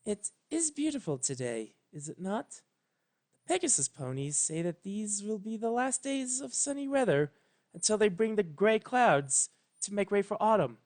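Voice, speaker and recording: A man voicing a regal, gentle, motherly female alicorn sun goddess in a fan fiction reading, with a melodic, measured, composed delivery. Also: high voice, lively intonation, pitched above the performer's own voice. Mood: composed, pleasant.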